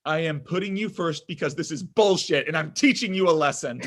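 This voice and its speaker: A man's voice: a little high, fairly quick, natural voice. A man playing a self-absorbed, needy young man in a comedy table read, melodramatic and whiny. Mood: indignant, hurt.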